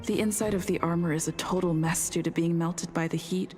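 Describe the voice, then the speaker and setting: A woman as a lower-voiced game companion. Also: fairly even intonation, fairly quick, a little mellow, lightly acted. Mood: matter-of-fact. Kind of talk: scripted video game dialogue. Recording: game audio with faint music behind.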